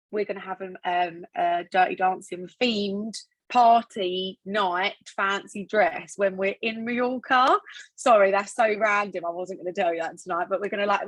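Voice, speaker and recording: A woman, slightly croaky, hosting a casual team training call with eager, chatty energy. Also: mid-range voice, fairly bright tone, no room sound, poor audio. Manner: enthusiastic, chatty, many fillers. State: croaky voice after a weekend away.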